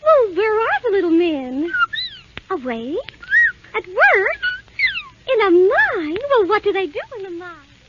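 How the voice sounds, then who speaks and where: Sweet gentle princess, very high and sing-song, slow, old radio with music. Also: female voice, very animated, muffled recording, exaggerated voice. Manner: soft, sweet, wondering. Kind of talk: 1930s radio drama acting.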